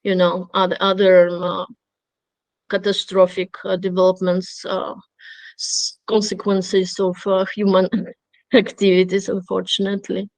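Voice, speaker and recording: A woman's voice: mid-range voice, completely flat delivery, unhurried, dry recording, low-quality recording. Middle-aged woman, not an english speaker, lots of ums and restarts, dry close sound. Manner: hesitant, many fillers and restarts. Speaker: webinar speaker. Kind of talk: conversational webinar talk.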